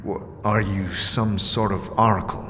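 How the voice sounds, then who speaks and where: A lost, weary immortal man, acted by a male performer, searching and hesitant in an audio drama. His voice is low and slow, with long reverb and ambient noise around it. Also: steady tone, pushed and bright. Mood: curious, hesitant. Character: amnesiac immortal male wanderer, weary, bewildered, earnest.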